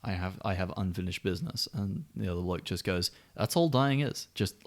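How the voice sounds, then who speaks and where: A man's voice: low voice, animated, fairly quick. A man co-hosting a podcast in casual chat, with a sweary, wry and joking manner. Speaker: podcast co-host.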